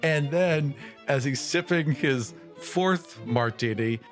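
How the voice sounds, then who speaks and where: A man's voice: medium pitch, takes it slowly, soft background music. A man playing a tabletop roleplaying game, casually improvising narration about his character.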